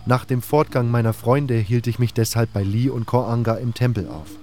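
A man's voice: mid-range voice, steady tone, moderate pace, warm tone. A man reading scripted first-person narration for a fantasy audio drama. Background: music.

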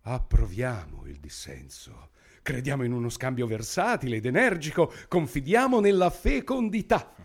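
Pompous, menacing government minister, wildly swooping delivery. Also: male voice, medium pitch, sing-song, moderate pace, breathy edge. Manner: grandiloquent, emphatic declamation. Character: pompous, menacing authoritarian male government minister, smugly brutal. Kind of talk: theatrical satirical radio play acting.

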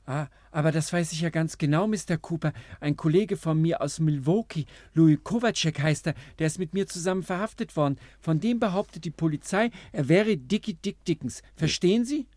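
Man playing a high-pitched timid gangster sidekick. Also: fairly quick, few pauses, darkish tone, no echo, close to an everyday voice. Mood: eager, explaining. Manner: fretful, hesitant, wordy. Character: small, timid, superstitious, chattering gangster sidekick. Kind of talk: 1950s German radio crime satire acting. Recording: noisy old radio play recording.

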